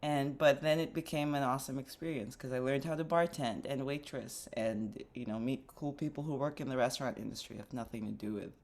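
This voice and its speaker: A woman's voice: rumbling low, vocal fry, a little mellow. A young woman from the Philippines, a dancer and artistic director, talking reflectively in a casual interview with many fillers and restarts.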